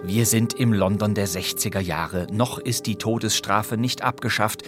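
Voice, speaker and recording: A man's voice: on the low side, steady tone, normal speed, music in the background. A middle-aged man hosting a podcast and engagingly introducing an old radio crime play. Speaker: podcast host.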